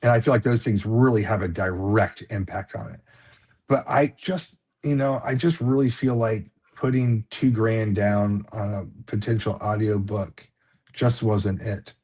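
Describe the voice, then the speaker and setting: A man's voice: on the low side, steady tone, unhurried, a little mellow, husky. A man who writes books, chatting casually as a guest on a live stream, rambling with many fillers and restarts and a slight lisp. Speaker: author guest.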